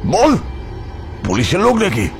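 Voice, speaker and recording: An actor playing a ruthless, vengeful crime boss in a horror audio drama, with a mocking, menacing manner. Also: male voice, sits high, huge pitch swings, soft background music, exaggerated voice. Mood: angry interrogation. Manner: menacing, mocking, drawn-out rhetorical questions.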